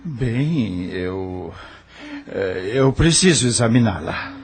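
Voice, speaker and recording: A man playing a polite, restrained, mature doctor in an audio novel, speaking formally with clear noise behind him. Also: on the low side, expressive, slow pace. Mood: hesitant, uneasy. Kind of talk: audio novel character acting.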